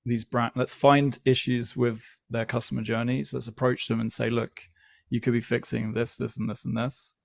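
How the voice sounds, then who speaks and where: A man speaking as a podcast guest, explaining his views in a measured, deliberate, conversational way. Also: mid-range voice, level tone, gravelly. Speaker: podcast guest, newsletter founder. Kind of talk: conversational interview.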